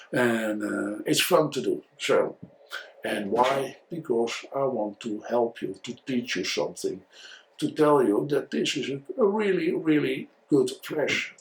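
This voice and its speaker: A man with a Dutch accent and a mid-range voice talks as an instructor in an informal review. As a native Dutch speaker, he explains things didactically. His delivery is halting, with words often repeated as he goes. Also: mid-range voice, poor audio. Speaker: photography instructor and video reviewer. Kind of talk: informal product review and tutorial.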